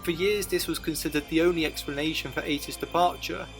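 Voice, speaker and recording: Higher-pitched man narrating over music. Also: fairly even intonation, fairly quick. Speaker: narrator of a fan documentary. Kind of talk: scripted narration.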